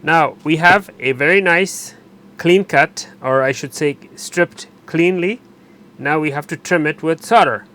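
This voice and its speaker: A man narrating a hands-on repair tutorial while he works, pausing and correcting himself as he goes. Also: fairly high pitch, pushed and bright. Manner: unscripted, pauses and self-corrects while working. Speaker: electronics repair instructor.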